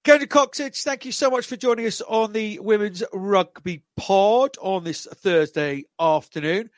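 A man hosting a sports podcast, interviewing guests in a casual, halting way that often repeats words. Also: high voice, soft background music. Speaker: podcast host.

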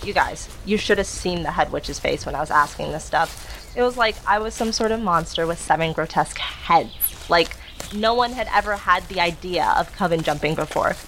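A woman's voice: lowish voice, normal speed, a bit bright, slight background noise. A woman acting in an audio drama as an earnest, bossy, exasperated young witch. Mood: exasperated rambling.

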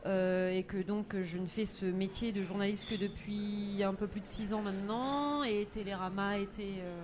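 A woman's voice: medium pitch, steady tone, crowd noise. A woman who works as a freelance humor critic, chatting and joking casually in a bar. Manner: chatty, jokey, quick laughs. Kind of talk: casual chat.

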